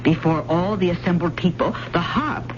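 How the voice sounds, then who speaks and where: An actress playing an earnest, hesitant adult student in a radio drama, speaking with careful, storytelling delivery. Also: female voice, on the low side, moderate pace, lightly acted. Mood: intent storytelling. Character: earnest, hesitant, probing female adult student of old ballads. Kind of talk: 1970s radio drama acting. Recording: muffled, noisy old radio broadcast.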